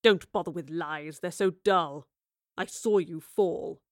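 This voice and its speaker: A woman's voice: fairly low pitch, slow, no echo, studio quality, pitched below the performer's own voice. A woman voicing a malicious, impatient man in an audio drama, with a sarcastic, condescending drawl. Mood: menacing, impatient. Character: malicious, impatient, deep-voiced man, smug villain. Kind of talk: audio drama villain acting.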